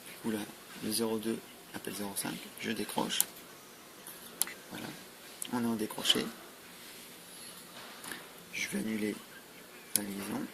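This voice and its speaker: A man's voice: lowish voice, level tone, unhurried, gravelly, pauses often. A man giving an informal, matter-of-fact, step-by-step product demonstration. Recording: noisy amateur recording with clicks.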